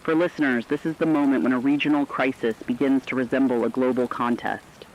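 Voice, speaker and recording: A man playing a sober radio analyst, giving formal, deliberate commentary in a scripted emergency broadcast. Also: mid-range voice, fairly even intonation, darkish tone, muffled recording, close to an everyday voice. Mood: sober, ominous.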